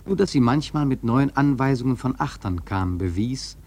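A man's voice: mid-range voice, animated, normal speed, darkish tone, slight background noise, natural voice. An actor playing a seasoned, wry sailor who recounts his whaling voyage in a radio play, musing and emphatic. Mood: quiet, brooding. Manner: musing, rhetorical, emphatic storytelling. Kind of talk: literary radio play narration.

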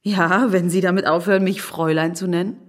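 Self-assured woman for radio drama, played by an actress: lowish voice, inquisitive, dryly teasing, naturalistic delivery. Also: steady tone, brisk, lightly acted. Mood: teasing, probing. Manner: probing, dryly playful. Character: curious, teasing, self-assured married woman, Fräulein Schlüter.

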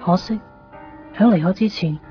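A woman's voice: medium pitch, normal speed, soft background music, lightly acted. An actress playing a melancholy young woman in a wistful Cantonese radio drama monologue. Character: melancholy young woman quietly leaving her love behind. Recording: dull lo-fi 1990s radio.

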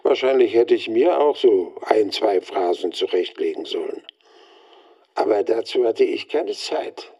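An actor playing a world-weary radio veteran looking back in a wry, grumbling monologue for a radio play. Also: male voice, fairly low pitch, lively intonation, measured pace, vocal fry, dark tone, lo-fi sound, heavily acted.

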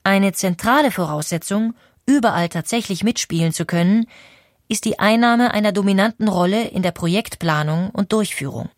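A woman narrating a history documentary in a clear, composed storytelling manner. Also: mid-range voice, moderate pace, no echo, studio quality.

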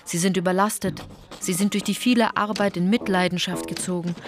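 A woman's voice: on the low side, fairly even intonation, brisk, natural voice. A woman playing an earnest, opinionated guide narrating a radio play, conversational and insistent, speaking directly to the listener. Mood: confiding. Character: earnest female guide narrating postwar history, opinionated and exasperated. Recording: clear background noise.

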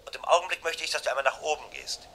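A man's voice: a little high, rapid-fire, sharp tone. An actor playing an anxious, insistent man in a German radio crime drama, giving clipped instructions while trying to hide his alarm. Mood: urgent, commanding. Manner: insistent, clipped instructions, breaking off mid-thought. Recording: noisy vintage radio play recording.

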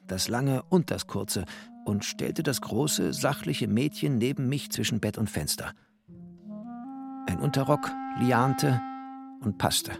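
An actor playing a bookish, tender and wry first-person narrator with poetic, deliberate phrasing in a literary radio drama. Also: male voice, mid-range voice, creaky.

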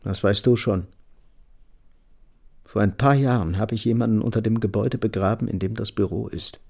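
A man's voice: on the low side, fairly even intonation, normal speed, gravelly, a little mellow. An actor playing a disillusioned, timid middle-aged architect and father in a radio drama, speaking in a subdued, terse way. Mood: grave, confiding. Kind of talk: German radio drama acting.

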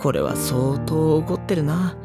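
A man playing a gentle, exasperated, self-deprecating high school boy in a Japanese anime audio drama. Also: measured pace, vocal fry, over loud music. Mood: wry, exasperated.

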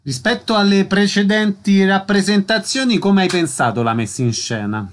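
A man's voice: high-pitched, moderate pace. A man hosting a radio show, reading introductions and putting short questions to guests. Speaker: radio interviewer and host.

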